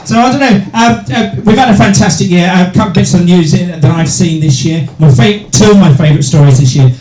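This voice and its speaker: A middle-aged male stand-up comedian with a deep voice hosting a live comedy night, bantering with hecklers and swearing freely. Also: fairly quick, slight background noise. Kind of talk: stand-up comedy with crowd work. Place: small live venue with a crowd. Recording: echoey room with loud crowd.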